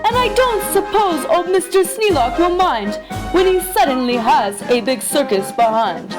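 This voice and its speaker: A woman's voice: sits high, very animated, normal speed, loud music behind the voice. A woman voicing an eager, boastful young boy from a storybook who talks in grandiose, singsong showman's patter. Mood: boastful, dreamy.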